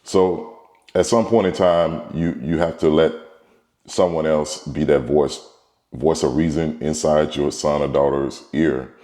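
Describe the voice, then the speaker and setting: Stuffy-nosed middle-aged guy, super deep mellow voice, rambly, echoey room. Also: fairly even intonation, a little slow. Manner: rambling, repeats words, restarts often. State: congested from a cold. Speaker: baseball trainer and podcast host. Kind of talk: casual monologue.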